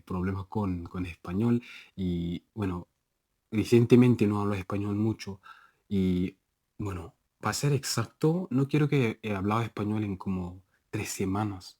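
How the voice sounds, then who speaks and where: A man's voice: on the low side, no echo, clean studio sound. A young Nigerian man talking casually in a language-learning vlog, restarting and correcting himself and laughing at his own slips. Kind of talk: casual self-recorded vlog.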